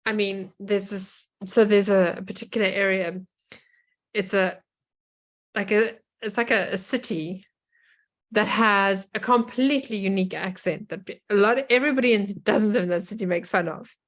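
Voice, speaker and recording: A woman's voice: mid-range voice, fairly even intonation, moderate pace. A woman with a South African accent, chatting casually as a co-host in a podcast conversation.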